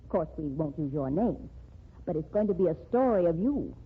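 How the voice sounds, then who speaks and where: A woman's voice: on the low side, expressive, fairly quick, breathy edge. An actress playing a determined woman writer who pushes a man to start over, in an old radio drama. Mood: persuasive. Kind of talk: 1940s radio drama acting. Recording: tinny lo-fi old radio broadcast.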